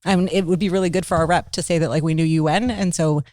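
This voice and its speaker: A woman from Baltimore talking casually as a podcast guest, full of "like" and quick laughs. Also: lowish voice, steady tone, a little mellow, dry recording. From Baltimore, Maryland. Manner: chatty, lots of "like", laughs while telling stories. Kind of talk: casual interview talk.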